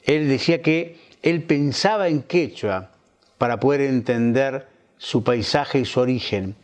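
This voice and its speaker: A man's voice: mid-range voice, unhurried. A man with a Rioplatense accent conducting a conversational interview, reflective in how he frames his questions. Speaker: interviewer on a literary television programme.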